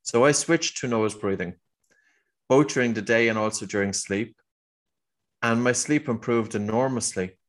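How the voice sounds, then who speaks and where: A man's voice: medium pitch, normal speed. A middle-aged man with an Irish accent, a guest on a podcast, earnestly explaining his work with a few restarts. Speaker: guest, breathing teacher. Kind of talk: conversational interview answer.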